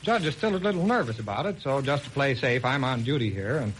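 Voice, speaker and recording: Man playing a fast-talking, brisk police detective. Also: mid-range voice, animated, fairly bright tone, a little hiss or hum, lightly acted, rough recording. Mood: alert, urgent. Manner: brisk, commanding, slangy. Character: brisk, confident police detective, breezy and bossy. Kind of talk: old-time radio crime drama acting.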